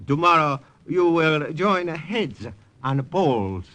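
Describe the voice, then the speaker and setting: An actor playing a gloating Japanese colonel in a vintage radio drama, speaking clipped, formal, stilted English. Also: male voice, a little high, big pitch swings, slow pace. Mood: smug menace. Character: sly gloating Japanese colonel, wartime villain. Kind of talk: 1950s radio drama acting. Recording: old radio recording with music behind.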